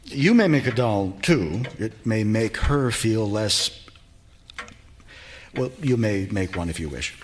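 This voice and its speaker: An actor playing a paternalistic, controlling husband in a live radio drama, measured and condescending. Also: male voice, on the low side, expressive, slight background noise. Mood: condescending, firm. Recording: live theater recording with music.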